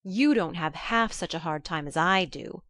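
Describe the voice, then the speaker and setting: A woman's voice: lowish voice, moderate pace, a bit bright, no room sound, natural voice. A woman voicing a boyish, hot-tempered teenage girl in an audiobook story, brusque and emphatic. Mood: exasperated. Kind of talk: audiobook character acting.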